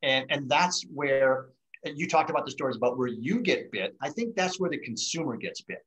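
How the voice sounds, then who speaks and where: A man's voice: medium pitch, expressive, fairly quick, a bit bright, low-quality recording. A middle-aged American man hosting casually and chatting over a video call. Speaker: host of a virtual wine tasting. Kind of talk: casual chat. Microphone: video call microphone.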